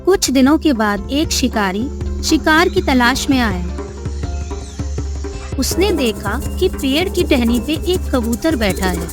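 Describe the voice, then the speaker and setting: A woman's voice: high-pitched, very animated, slow, music in the background. A woman narrating a scripted moral story for young children.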